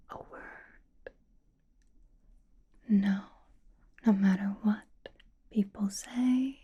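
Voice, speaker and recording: A woman playing a possessive, teasing female vampire in a whispered ASMR roleplay, breathy, coy, intimate and commanding. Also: medium pitch, warm tone, dull audio, exaggerated voice. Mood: coy, conspiratorial.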